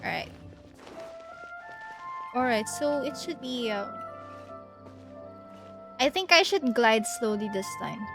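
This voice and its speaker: A woman with a fairly high voice chats casually through a live video game stream. She thinks aloud in an easygoing, giggly way. Music plays behind her voice. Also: expressive, slow pace, long pauses.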